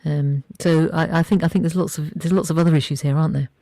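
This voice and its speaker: Conversational radio interviewer, soft-edged tone with noticeable fry, close dry sound, loose rambling phrasing. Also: medium pitch, steady tone, fast, no echo. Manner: informal, rambling questions with many restarts. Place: radio studio.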